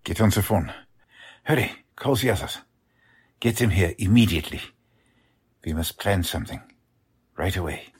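A man's voice: deep voice, animated, normal speed, pauses often. A man playing an anxious, principled middle-aged German-American father in a 1940s-style radio drama, grave and earnest. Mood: grave, urgent. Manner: grave, earnest, formal phrasing. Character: anxious German-American family patriarch, urgent but principled.

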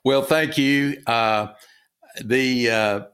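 A middle-aged man from Texas with a Texan accent, a guest telling his story in a halting way with many fillers and restarts. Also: medium pitch, a bit bright, clean tone. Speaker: guest, retired business owner and author. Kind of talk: casual interview talk.

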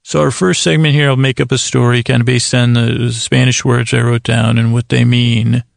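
A man with a creaky voice hosting a bedtime podcast in a meandering, halting, gently goofy monologue full of tangents and self-corrections. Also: mid-range voice, flat delivery, no echo, clean studio sound. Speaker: podcast host.

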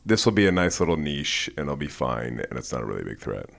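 A man's voice: extremely low pitch, big pitch swings, quick pace, vocal fry, a bit bright. A male podcast host who asks probing questions and answers his guest with short agreements. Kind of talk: podcast interview.

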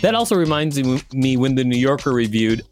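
A man co-hosts a podcast in a mid-pitched voice. He reads an article aloud and breaks off for casual, opinionated asides. There is faint music behind him. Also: big pitch swings, fairly quick. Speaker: podcast co-host.

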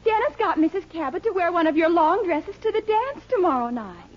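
Very high-pitched, gushing young radio daughter. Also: female voice, very animated, brisk, dull audio. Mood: excited. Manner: gushing, melodramatic. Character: excitable grown daughter pleading with her mother. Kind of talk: 1940s radio sitcom acting. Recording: noisy band-limited 1940s radio recording.

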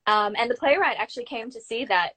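A woman's voice: fairly high pitch, quick pace, bright, forceful tone, husky. A young adult woman with an Australian accent, talking in an informal, anecdotal way as the guest in a casual interview. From Brisbane, Australia. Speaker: guest, actor and acting student.

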